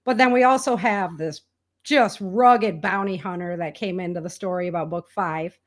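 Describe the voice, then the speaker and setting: A woman's voice: fairly low pitch, moderate pace. A woman speaking as an enthusiastic, chatty interview guest, in a casual conversational style. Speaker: interview guest, indie author and podcaster.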